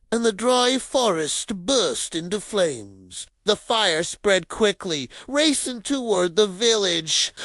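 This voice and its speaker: A woman narrating a children's cartoon story in an expressive, dramatic manner. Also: fairly high pitch, no echo.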